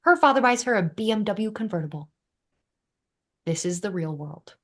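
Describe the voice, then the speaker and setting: A woman performs a tough-love young woman from a sitcom. Her delivery is lively and varied in pitch, with a blunt, exasperated edge. She leans on her words with sardonic emphasis. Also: normal speed, close to an everyday voice. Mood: frustrated. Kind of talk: sitcom script table read.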